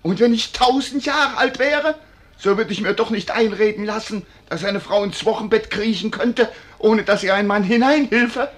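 A man's voice: sits high, moderate pace, fairly bright tone. An actor playing a very old, doting yet jealous nobleman husband in a 1940s German radio play, blustering and theatrical. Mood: furious.

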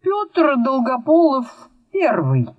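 A fussy male schoolteacher acted by a woman narrator in a children's storybook, formally polite and wryly teasing. His speech is drawn out and mumbly, pitched high, with animated swoops. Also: slow, dry recording, phone-quality sound, heavily acted.

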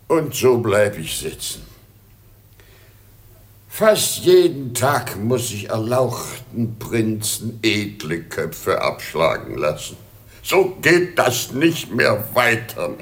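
An actor playing a sorrowful, whining old emperor and doting father in a theatrical German radio play, with sighing, drawn-out delivery. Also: on the high side, fairly bright tone, full character voice. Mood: brooding.

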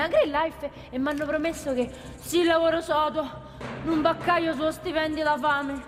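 Very high-pitched Roman working-class woman, played by an actress, plaintive and emphatic, radio drama over music. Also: close to an everyday voice. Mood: hopeful irony. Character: exasperated pregnant working-class woman in her thirties. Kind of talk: theatrical radio drama monologue. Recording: reverberant theatre with music behind.

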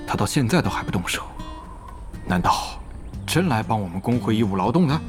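一名男演员在广播剧里饰演一位警惕、精于算计、说话有威严的网游公会会长。. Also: male voice, fairly low pitch, sing-song, brisk, over music. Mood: suspicious, scheming. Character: wary, calculating male online game guild leader. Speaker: voice actor. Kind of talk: Chinese audio drama acting.

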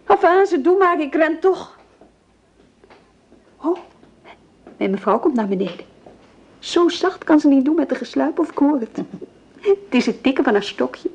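An actress playing a harried, gossipy young maidservant in a radio play, chattering away. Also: female voice, high voice, expressive, measured pace, long pauses, a little mellow, dull audio. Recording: faintly noisy old radio play recording.

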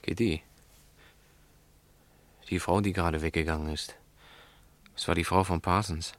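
A man's voice: deep voice, fairly even intonation, a little slow, dry recording. A man playing a stubborn, principled shopkeeper in a German radio crime drama, speaking in a curt, firm manner. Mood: preoccupied. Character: stubborn, principled male shopkeeper, witness to a murder. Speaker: actor.

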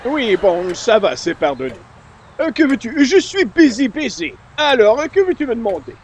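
A man's voice: extremely high pitch, very animated, moderate pace, a little hiss or hum. A man voicing a busy, self-important radio announcer in a comedy, pompous and condescending. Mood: indignant, brisk. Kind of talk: old-time radio comedy acting.

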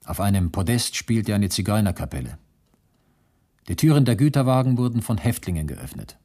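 Deep, husky camp survivor. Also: male voice, sing-song, mellow. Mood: matter-of-fact. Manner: sober, measured, precise. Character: male concentration camp survivor recounting his ordeal, grave, exact. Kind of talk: literary radio drama monologue.

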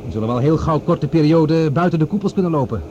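An actor in a radio drama playing a commanding, stubborn doctor and scientist on Mars, speaking with authority. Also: male voice, a little high, fast, a little mellow, lightly acted. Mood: hopeful, musing. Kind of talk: Dutch radio drama acting. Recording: dull noisy old radio recording.

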